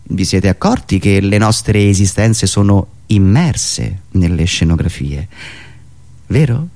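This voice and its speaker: A man delivering a theatrical political monologue in a dramatic, emphatic manner, with deliberate pauses. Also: low voice, lively intonation, warm tone. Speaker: satirical stage performer and radio host.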